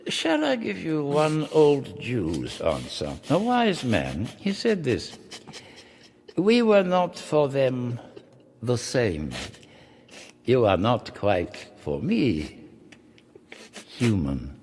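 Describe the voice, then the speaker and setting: An actor in a radio drama playing a cold, courteous, sadistic older torturer, speaking in measured, pedantic phrases with menacing pauses. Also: male voice, medium pitch, huge pitch swings, darkish tone, slight background noise, full character voice. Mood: cold, resigned.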